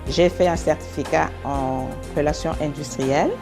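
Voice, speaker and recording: An older woman from the Democratic Republic of Congo, a guest answering interview questions at a measured pace. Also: sits low, over music.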